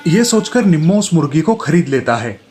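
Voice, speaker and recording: Higher-pitched male children's storyteller. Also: very animated, normal speed, a little mellow. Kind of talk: scripted children's story narration. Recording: music behind the voice.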